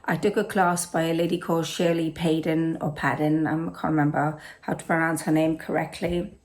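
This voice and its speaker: A British woman with a hoarse voice, hosting a knitting and travel channel and rambling through a casual introduction with many fillers and self-corrections, recorded on a simple camera setup. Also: low-pitched, little pitch movement, normal speed. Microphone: non-professional camera setup.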